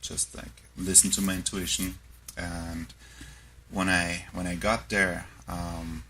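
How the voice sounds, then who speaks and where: A man with an Austrian accent speaks with a deep, gravelly voice that has a bright, forceful edge. He talks as a podcast guest, pausing often and restarting his thoughts. A faint noise sits behind him. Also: level tone. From born in the US South, raised in Austria. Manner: halting, reflective, many fillers and restarts. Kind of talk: casual conversation.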